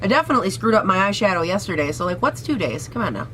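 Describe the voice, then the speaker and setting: A young woman with a raspy voice, hoarse from a cold, chatting casually and sassily through a makeup try-on at home. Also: medium pitch, sing-song, rapid-fire, fairly bright tone, a little hiss or hum, rough recording. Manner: chatty, sassy, swears casually. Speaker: beauty vlogger.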